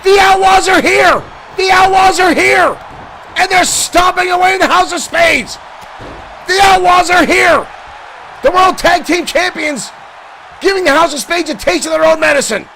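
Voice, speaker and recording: A man doing excited play-by-play commentary for a digital wrestling show, shouting at big moments and losing his voice. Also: extremely high pitch, normal speed, creaky, crowd noise, noisy background.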